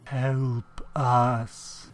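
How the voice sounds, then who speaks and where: An actor voicing a ghostly disembodied spirit in a horror audio drama, pleading in an eerie, drawn-out way. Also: medium pitch, little pitch movement.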